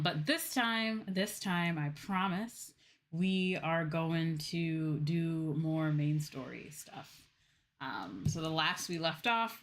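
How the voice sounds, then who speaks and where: A woman's voice: sits low, fairly bright tone. A woman livestream host chatting casually to her viewers in an informal, gushing way. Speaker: game livestream host, VTuber.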